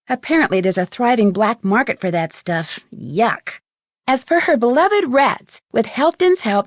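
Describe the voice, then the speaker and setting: A woman's voice: medium pitch, no room sound, clean studio sound, lightly acted. A woman with an American accent playing a witty teenage girl detective, telling how a case ended in wry, conversational game narration. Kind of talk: scripted game epilogue narration.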